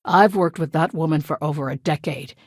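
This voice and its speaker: A woman's voice: low-pitched, fairly quick, darkish tone, slightly rough, dry recording. A woman playing a shrewd, no-nonsense corporate legal chief in a video game, speaking in a direct, businesslike and dry manner. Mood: firm, skeptical. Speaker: head of a corporate legal department.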